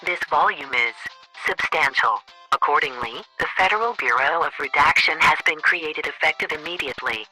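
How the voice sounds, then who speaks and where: A man playing an officious government chief of staff, reading formal, deadpan orders as a voicemail in a satirical radio comedy. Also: a little high, moderate pace, soft background music, poor audio.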